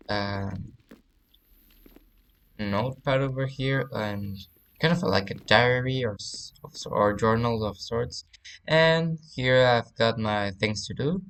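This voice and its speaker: A man with a Spanish accent, a native Spanish speaker, giving a casual game tour and often pausing to search for words. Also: mid-range voice, gravelly, fairly bright tone, slight background noise, poor audio. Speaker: let's play creator.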